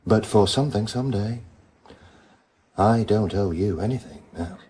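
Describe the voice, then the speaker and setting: A weary police detective in a radio crime drama. His voice is low and husky, and he talks in a dry, terse, guarded way. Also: moderate pace, dark tone. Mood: quietly threatening.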